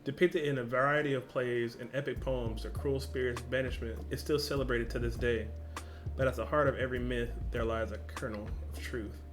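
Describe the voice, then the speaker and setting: A young man talks through a reaction video in loose, slangy chat, breaking into loud excited exclamations. His voice sits at an ordinary middle pitch. Music plays clearly underneath him. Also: fairly quick. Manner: excitable, slangy, exclaims loudly at what he sees. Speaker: reaction channel host. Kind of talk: casual reaction commentary.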